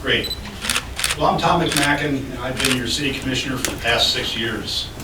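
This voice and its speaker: A man delivers his opening statement at a local candidate debate, friendly with a touch of humor. He sounds distant from the mic, with the room's echo around him and a steady wash of noise behind. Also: medium pitch, moderate pace. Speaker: city commissioner candidate. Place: public library meeting room. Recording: echoey room, distant mic, noisy.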